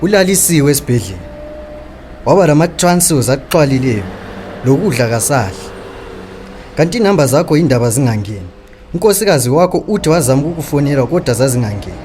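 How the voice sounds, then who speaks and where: A man's voice: medium pitch, very animated, fast talker, darkish tone, slight background noise, close to an everyday voice. A man with a Zimbabwean accent playing a concerned, respectful, frank working man in a radio drama. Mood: reproachful. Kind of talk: radio drama acting in Ndebele.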